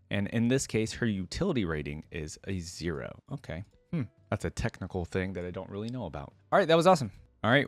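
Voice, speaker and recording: A man's voice: fairly low pitch, no room sound. A man hosting a reaction video, commenting casually and thinking aloud in an informal way as he goes.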